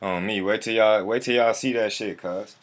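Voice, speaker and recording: A man's voice: lowish voice, steady tone, normal speed. A man hosting a casual livestream, talking in a laid-back, slangy, profane way and chuckling often. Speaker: livestream host.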